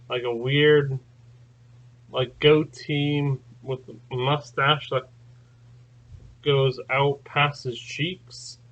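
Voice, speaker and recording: A man talks at an unhurried, drawn-out pace, and his voice sounds bright and a little strained. He rambles casually and keeps circling back with fillers and restarts. The sound is dull and lo-fi. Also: medium pitch. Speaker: channel host. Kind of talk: casual spoken recounting.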